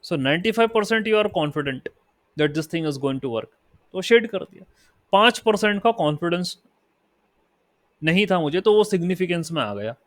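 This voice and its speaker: A fairly high-pitched man with an Indian accent gives a lesson, moving between Hindi explanation and slow, careful English definitions. His delivery is unhurried and deliberate. The recording is dry and close, with little room sound. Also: lively intonation, no echo. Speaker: teacher. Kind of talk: lecture.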